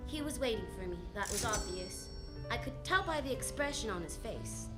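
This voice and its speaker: High-voiced wisecracking lady detective acted by a woman. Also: expressive, normal speed, over loud music, close to an everyday voice. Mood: brisk, wry. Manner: sardonic, snappy, quipping hard-boiled delivery. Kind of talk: old-time radio detective acting.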